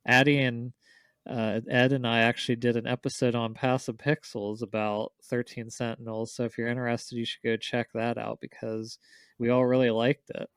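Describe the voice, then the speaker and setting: A man co-hosts a casual podcast in a smooth, clear voice. His intonation stays fairly flat, and the recording is very dry. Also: medium pitch, no echo. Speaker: podcast co-host.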